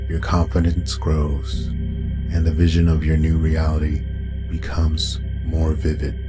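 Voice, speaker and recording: A man narrating a guided meditation in a calm, soothing, unhurried way, as a guide would. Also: a bass voice. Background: meditation music with singing bowl tones.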